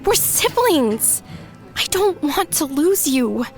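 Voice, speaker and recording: A woman's voice: sits high, very animated, slow, faint music behind, full character voice. An actress playing a young woman's sister in an audio drama, pleading and then cold and cutting. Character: Otto's bitter, desperate sister, young adult.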